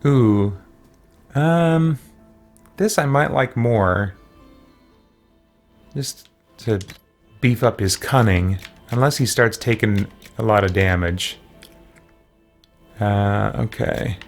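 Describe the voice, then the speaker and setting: A man talking casually on a livestream as he plays a game, halting and thinking aloud. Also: on the low side. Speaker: livestream game player and host. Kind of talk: casual livestream chat while playing. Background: game music.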